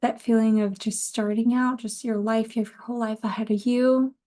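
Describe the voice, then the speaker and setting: A woman's voice: on the high side, fairly even intonation, fairly quick, mellow. A woman in her thirties hosting a casual solo podcast, talking in an informal, rambling way. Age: 30s. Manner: informal, rambling, laughs easily, occasional swearing. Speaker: podcast host.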